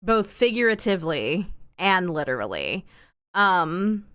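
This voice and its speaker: A woman's voice: lowish voice, very animated, measured pace, a bit bright, no echo, studio quality. A woman livestreamer rambling casually to her viewers in a wry way, leaning on and repeating words with exaggerated emphasis.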